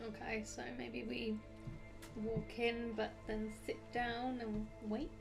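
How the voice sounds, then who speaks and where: Woman gamer, highish creaky voice, chatty, music playing clearly behind. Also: level tone, slow pace. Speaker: tabletop game player. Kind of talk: casual chat during a game.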